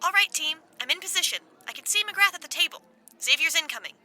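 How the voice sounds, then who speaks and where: A woman's voice: extremely high pitch, expressive, fast talker, sharp tone, heavily acted. An actress playing Gloria, a fussy female team coordinator who gives bossy, fretting instructions in an audio comedy.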